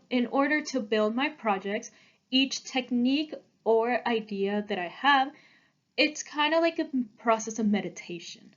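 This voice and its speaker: A woman's voice: fairly high pitch, muffled recording. A young woman in her twenties, an art student earnestly presenting her own work in a prepared talk, with small stumbles and restarts.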